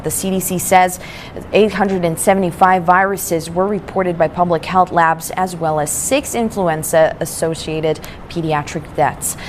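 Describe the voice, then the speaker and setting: A woman's voice: on the low side, steady tone, measured pace, music in the background. A woman reading a scripted news report as a reporter.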